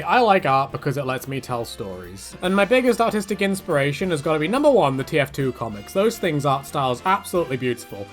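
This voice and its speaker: A man talking through fan questions in a loose, rambling Q&A, his voice sitting a little high for a man. He leaps up in pitch for quick asides and jokes. Soft music plays faintly underneath. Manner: rambling and animated, jumping pitch for quoted asides. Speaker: YouTube creator answering fan questions. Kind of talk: casual Q&A monologue.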